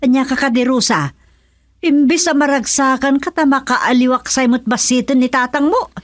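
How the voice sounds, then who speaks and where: A woman playing a practical, supportive mother of a grown daughter in a radio comedy drama, with an expressive, admonishing way of talking. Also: high-pitched, moderate pace, no room sound, clean studio sound, lightly acted.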